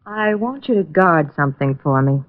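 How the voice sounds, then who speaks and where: A woman's voice: deep voice, expressive, normal speed. A woman playing a guarded, teasing mystery client in an old radio detective drama. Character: cool, evasive, flirtatious mystery woman client. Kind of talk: 1940s-50s radio detective acting. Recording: muffled vintage radio recording.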